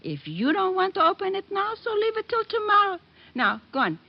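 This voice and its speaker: A woman's voice: very high voice, huge pitch swings, brisk, creaky, exaggerated voice. An actress playing a fussy, affectionate older widowed mother in an old radio drama, scolding in a motherly way. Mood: bossy, teasing. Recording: dull, noisy old radio recording.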